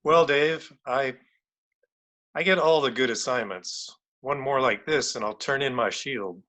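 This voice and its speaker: A man playing a gruff, exasperated New York police lieutenant in an old-time radio drama, wry and incredulous. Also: medium pitch, unhurried, sharp tone.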